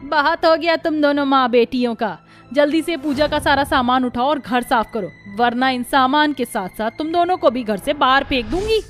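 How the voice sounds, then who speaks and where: A woman's voice: high-pitched, normal speed, a bit bright, soft background music. A woman voicing a domineering, angry in-law villain in a cartoon, harsh and bossy. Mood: furious. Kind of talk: Hindi cartoon voice acting.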